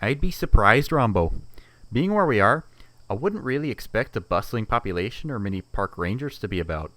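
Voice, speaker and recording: A casual, wry mercenary engineer in a sci-fi audio drama. His voice is fairly low and swings in pitch with an animated delivery. It is recorded close and dry. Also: brisk, dry recording. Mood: wry, analytical.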